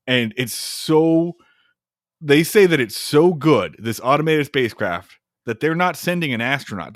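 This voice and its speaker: A man's voice: medium pitch, no room sound, studio quality. A man co-hosting a podcast, casually recapping an old comedy film and acting out the scenes in silly voices. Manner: animated, acts out scenes in funny voices, swears. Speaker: podcast co-host.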